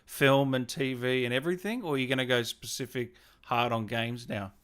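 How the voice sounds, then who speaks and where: A man's voice: mid-range voice, normal speed, a bit bright, clean tone. A man hosting a casual online interview in a friendly, encouraging, conversational way. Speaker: interviewer and show host. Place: remote video call.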